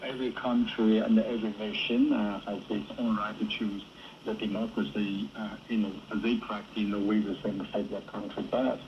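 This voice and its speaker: Lowish male professor on a panel talking politics, halting non-native english, noisy shortwave radio. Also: little pitch movement, highs cut off. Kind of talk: political commentary in discussion. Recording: shortwave radio with heavy static. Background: shortwave radio noise.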